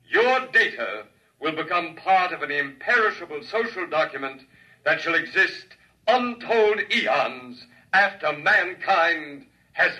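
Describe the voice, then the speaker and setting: A grandiose alien leader orating in a 1950s radio drama. His voice is raised and extremely bright, with animated, emphatic swells. It comes from a little way off on an old radio recording. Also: fairly high pitch, full character voice. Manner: oratorical, solemn, emphatic. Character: grandiose alien leader addressing assembled human recruits. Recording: distant, thin vintage radio sound.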